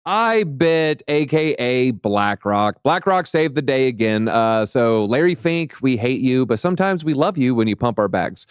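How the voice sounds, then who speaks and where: A slightly hoarse man hosts a loose crypto chat, rambling and joking between market numbers. His voice is mid-pitched, and the room sounds dry and close. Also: sing-song, unhurried, few pauses, no echo. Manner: playful, rambling, chatty. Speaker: host.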